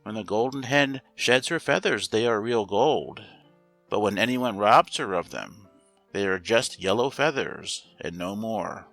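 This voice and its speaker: A man narrating a scripted fairy tale as a storyteller, reading aloud in a measured, expressive way. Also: lowish voice. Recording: roomy echo, faint music behind.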